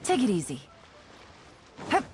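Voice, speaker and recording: An actress playing a cool, shrewd spymaster who gives orders in a measured, commanding, self-assured way, as in a video game. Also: female voice, mid-range voice, wildly swooping pitch, darkish tone, husky, faint music behind, full character voice. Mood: composed, confident.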